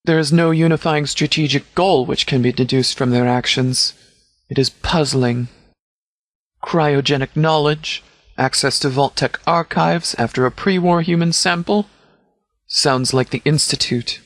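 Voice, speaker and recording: An actor playing a commanding post-apocalyptic sheriff in a video game, speaking in a clipped, formal, authoritative way. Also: male voice, on the high side, unhurried, dry recording. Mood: analytical.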